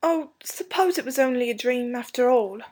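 A woman's voice: a little high, animated, moderate pace, darkish tone, voice pushed up. A woman voicing a grumpy, hot-tempered English schoolboy with a British accent and a blunt, snappy manner.

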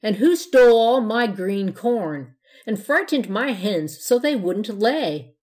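A woman's voice: mid-range voice, animated, a little slow. A woman reads as a grumpy man from a storybook, full of suspicion and scorn. He scolds and snorts, yapping out his complaints. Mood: angry, scolding. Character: grumpy, suspicious, scornful man who begrudges trick-or-treaters. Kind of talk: storybook character voice in narration.

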